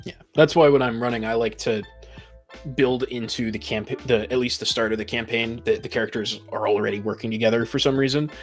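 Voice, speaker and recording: A man's voice: medium pitch, fairly even intonation, a little fast, soft background music. A man co-hosting a casual podcast discussion with a wry, joking way of talking. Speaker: podcast co-host. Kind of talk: casual discussion of tabletop roleplaying.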